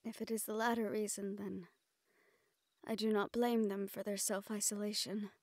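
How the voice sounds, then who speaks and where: A woman playing a gentle, playfully reproving fantasy sweetheart in a romantic audio roleplay, speaking tenderly in formal phrases. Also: mid-range voice, little pitch movement, normal speed, no echo, clean studio sound, close to an everyday voice. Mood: soft, earnest. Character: gentle, playfully scolding fantasy woman courting a dragon general.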